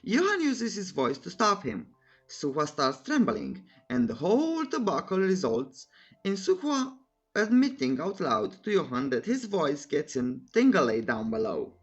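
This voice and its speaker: Cheeky woman narrator, very lively pitch. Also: a little slow, slightly rough, highs cut off, faint music behind. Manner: cheeky, tongue-in-cheek, coy euphemisms. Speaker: recap channel narrator. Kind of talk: scripted story recap narration with playful asides.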